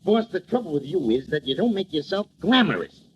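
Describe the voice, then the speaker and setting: An actor playing a brash, slangy, wisecracking manager sidekick in a 1940s radio comedy. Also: male voice, fairly high pitch, very animated, a little fast. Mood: emphatic coaxing. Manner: slangy, uneducated, emphatic wisecracking. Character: brash, streetwise male manager and sidekick, advising his boss. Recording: muffled old radio recording with hiss.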